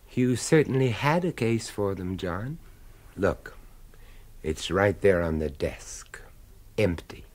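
An actor playing a shrewd, insistent professor turned amateur sleuth in a radio drama, speaking emphatically and persuasively. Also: male voice, low-pitched, takes it slowly, long pauses. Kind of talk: British radio drama acting.

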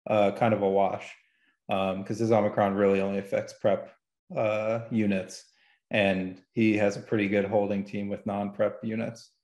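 A man with a fairly low voice speaks as a guest data analyst on a gaming show. He explains technical details casually, halting and regrouping as he thinks. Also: flat delivery, darkish tone, roomy sound.

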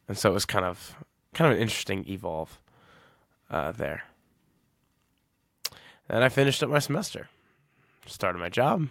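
A man's voice: sits low, vocal fry, a bit bright, no room sound, clean studio sound. A man in his twenties hosting a solo podcast, talking casually and reflectively, rambling and often correcting himself. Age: 20s. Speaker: podcast host.

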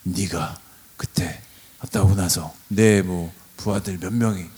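A man's voice: sits low, steady tone, unhurried, darkish tone, breathy edge. A man co-hosting a podcast, chatting bluntly and casually about a drama, swearing and laughing often. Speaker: podcast co-host. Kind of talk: casual chat reviewing a drama.

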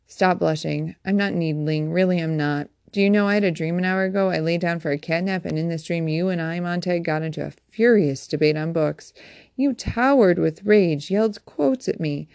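A woman's voice: lowish voice, moderate pace, vocal fry, darkish tone, dry recording, clean studio sound. A woman reading the part of a smug, pompous fire captain from a novel, theatrical and mocking. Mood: taunting, emphatic. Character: smug, taunting fire captain, a man who loves quoting.

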